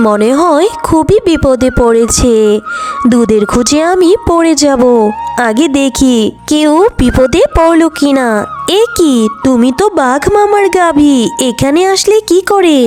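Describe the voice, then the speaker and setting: A woman's voice: high-pitched, measured pace, darkish tone, faint music behind. A woman voicing a kindly, earnest mother jackal in a children's cartoon fable. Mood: concerned.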